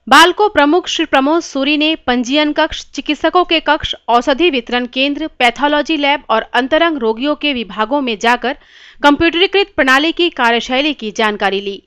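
Bright, high-pitched woman news narrator. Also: no echo. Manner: formal, measured reading.

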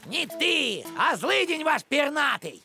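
A man voicing a suspicious, cranky middle-aged village postman in a cartoon, accusing and blustering in a creaky voice. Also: very high-pitched, very animated, takes it slowly, heavily acted. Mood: indignant. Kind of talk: animated cartoon dialogue. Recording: music behind the voice.

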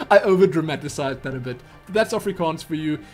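Give me a young South African man chatting animatedly to his webcam, a bit high-voiced. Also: normal speed, faint music behind. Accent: South African English. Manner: animated, chatty, theatrical asides. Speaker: YouTube gaming host. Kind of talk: casual Q&A vlog. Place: small home room with posters.

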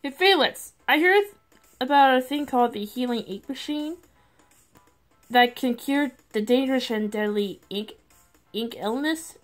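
A woman reading out questions as the narrator of a comic dub, in an animated style. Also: high-pitched, a little slow. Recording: background music under the voice. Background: music.

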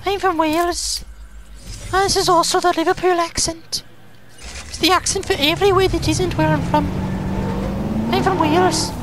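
A man putting on exaggerated mock regional accents in a high, put-on voice. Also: fairly even intonation, normal speed, pauses often, noisy background. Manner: exaggerated, mocking. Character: male speaker doing mock regional accents.

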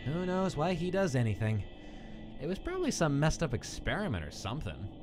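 A man's voice: on the high side, huge pitch swings, a little fast, low-quality recording. A man playing a wary skeleton boy over music. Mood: bitter, resigned. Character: wary, skeptical young skeleton boy, dry and guarded. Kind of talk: animated comic dub acting.

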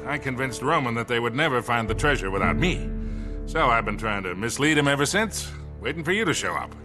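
A man playing an older, gruff and folksy rogue treasure hunter with an American accent in a cinematic video game, talking with a colourful storyteller's swagger. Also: medium pitch, lively intonation, fairly quick, natural voice. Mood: relieved, amused. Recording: music behind the dialogue.

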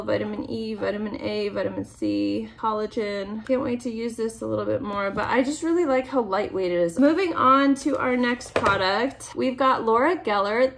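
A young woman vlogger with a slightly nasal voice from a cold, chatting in an upbeat way as she unboxes makeup in her bedroom. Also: on the high side. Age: young adult. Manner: chatty and upbeat, often gushing over products. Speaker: beauty vlogger. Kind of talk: casual makeup unboxing chat. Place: bedroom, indoors.

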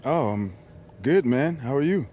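A man acts out a young American basketball pro in a video game cutscene. He is relaxed, slangy and driven, speaking at a middling pitch. There is light ambient noise behind him. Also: a little slow, natural voice. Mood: agreeable. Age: young adult. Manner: casual, slangy, laid-back.

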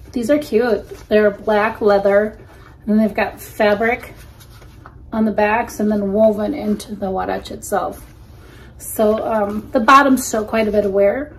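A woman's voice: medium pitch, rough recording. A woman in her forties chatting casually on camera with lots of ums as she looks over used shoes, in a small room. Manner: chatty, easygoing, frequent ums. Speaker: reseller vlogger. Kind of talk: casual unboxing commentary. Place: small indoor room against a plain wall.

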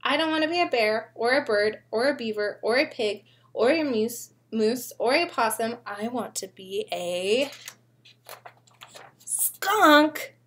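A young woman voicing a discontented little boy bunny from a picture book, in emphatic, drawn-out reading. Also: on the high side, animated, fairly bright tone. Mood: fed up, wishful.

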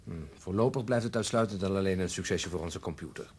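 An actor playing a weary, dejected, grumbling police detective in a radio drama. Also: male voice, low voice, fast, breathy edge. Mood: weary, sour.

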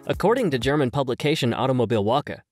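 Male narrator, mid-range pitch, scripted explainer read with faint music bed. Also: animated, quick pace. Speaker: video narrator. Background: background music.

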